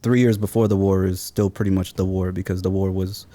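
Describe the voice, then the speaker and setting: Man in his thirties, deep voice, flat intonation, thoughtful casual interview talk. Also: brisk. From Seattle, born in Somalia. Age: 30s. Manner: thoughtful, informal slangy phrasing.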